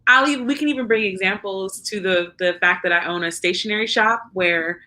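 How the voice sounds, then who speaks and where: A woman's voice: mid-range voice, a little fast, pushed and bright. A young woman talking candidly and wryly in a casual podcast conversation, with a self-mocking edge. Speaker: podcast co-host.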